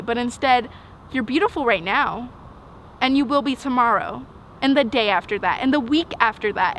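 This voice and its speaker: Teenage young woman, high voice, earnest slam poem delivery, emphatic rhythm, dramatic pauses, outdoor traffic noise behind. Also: fairly bright tone. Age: teens. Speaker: slam poet. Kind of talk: rhythmic spoken word poetry recital. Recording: outdoor urban noise, passing vehicles.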